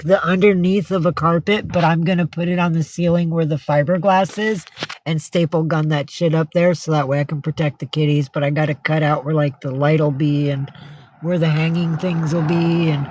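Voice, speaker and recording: A woman's voice: low-pitched, darkish tone, low-quality recording. A woman hosting a casual livestream from home, talking in a rambling, blunt and sweary way. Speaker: livestream host. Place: indoors at home with cats.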